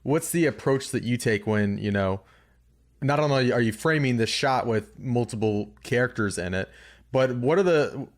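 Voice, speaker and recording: A young man working as an interviewer, asking loose, rambling questions in a casual style on a studio microphone. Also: mid-range voice. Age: young adult. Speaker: interviewer, video editor. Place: indoor room on a video call. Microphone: boom-arm studio microphone.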